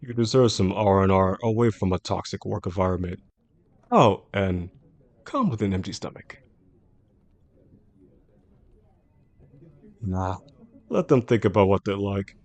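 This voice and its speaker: A man playing a composed, caring CEO boss with a secretly obsessive streak in an intimate audio roleplay, speaking in a measured, formal, reassuring way. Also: on the low side, lively intonation, a little mellow, no room sound. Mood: caring, insistent. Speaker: voice actor in a roleplay audio drama. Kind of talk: intimate scripted roleplay audio addressed to the listener.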